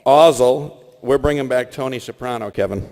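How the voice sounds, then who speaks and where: A man's voice: medium pitch, moderate pace, darkish tone. A man hosting a live comedy show from a convention stage, announcing casually to the room. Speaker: host of live voice-acting show. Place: convention stage in a large hall. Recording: echoey convention hall reverb.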